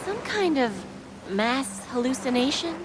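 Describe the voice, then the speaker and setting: A woman's voice: sits high, very animated, a little slow, noisy background. An actress playing a brash, hot-tempered young woman sharpshooter in a video game, sassy and exasperated. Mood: startled. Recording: loud music under the voice.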